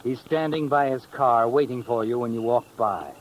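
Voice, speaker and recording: An actor playing the ominous, all-knowing narrator of a 1950s radio crime tale, dramatic and insinuating. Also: male voice, medium pitch, moderate pace, a little hiss or hum, natural voice, low-quality recording. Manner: insinuating, dramatic, with leading rhetorical questions. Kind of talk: 1950s radio suspense narration in second person. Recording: old radio recording with music underneath.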